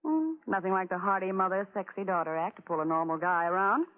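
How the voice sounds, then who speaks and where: A woman's voice: medium pitch, fast, faint music behind, close to an everyday voice. A woman acting a young, worldly bar girl in a 1940s radio drama. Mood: confiding, sly. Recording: phone-like, noisy 1940s radio recording.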